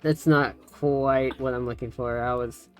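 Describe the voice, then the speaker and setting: A woman's voice: very deep voice, steady tone, a little slow, faint music behind. A woman streamer teaching a tutorial in a casual, easygoing, loosely wandering way. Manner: easygoing, giggly, easily sidetracked. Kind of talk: casual chat.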